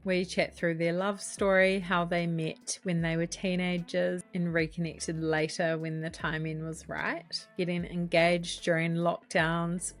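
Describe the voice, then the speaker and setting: A woman with a New Zealand accent, a wedding photographer hosting a podcast, reading an intro and then chatting with guests in a bright, upbeat way. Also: on the low side. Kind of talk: scripted podcast intro and casual interview.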